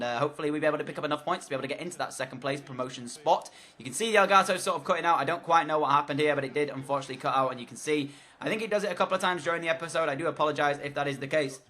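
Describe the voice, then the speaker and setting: Fast, bright-voiced hoarse man. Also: a little high. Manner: rambling, chatty play-by-play with frequent fillers. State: hoarse, losing his voice. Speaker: gaming commentator. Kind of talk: voice-over commentary on a football video game.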